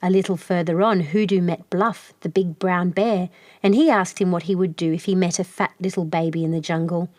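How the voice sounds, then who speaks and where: A woman's voice: lowish voice, fairly quick, no room sound. Woman reading a children's story, close dry sound. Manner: expressive storytelling with acted character voices.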